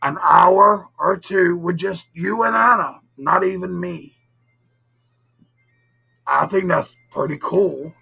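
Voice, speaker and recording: Male live storytelling host: bright, forceful, very husky rasp, casual and teasing with viewers, muffled recording with music underneath. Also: sits high, slow pace, pauses often. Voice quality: gravelly, raspy. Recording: dull lo-fi audio, music behind.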